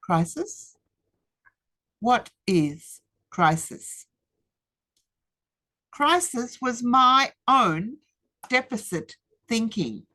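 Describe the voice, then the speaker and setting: A woman, giving a rehearsed webinar presentation in a measured, deliberate way with emphatic pauses. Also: on the high side, big pitch swings, bright, forceful tone. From Australia. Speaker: speaker. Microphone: webinar computer mic.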